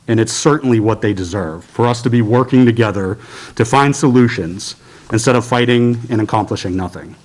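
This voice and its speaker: Man, American accent, medium pitch, formal, earnest, prepared political remarks. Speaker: state legislator. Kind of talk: prepared remarks at a press briefing.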